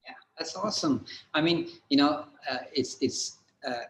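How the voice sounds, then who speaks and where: A man speaking as a guest mentor in a relaxed online live chat. He hesitates often, restarting his sentences with "uh", and his voice drops off softly as he finishes a thought. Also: fairly high pitch, normal speed, a little hiss or hum. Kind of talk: casual livestream interview.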